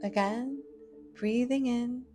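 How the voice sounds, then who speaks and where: A woman leads a guided relaxation at an extremely slow pace, leaving long pauses between short phrases. Her delivery is gentle and soothing, set over soft meditation music with singing bowl tones. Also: fairly high pitch, fairly even intonation. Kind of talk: guided imagery meditation, scripted narration.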